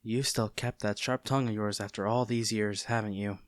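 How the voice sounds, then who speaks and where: A man playing a smug, taunting agent facing a former childhood friend, speaking in a measured, theatrical way in a scripted audio roleplay. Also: on the low side, steady tone, no room sound, clean studio sound, lightly acted. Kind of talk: dramatic scripted roleplay monologue.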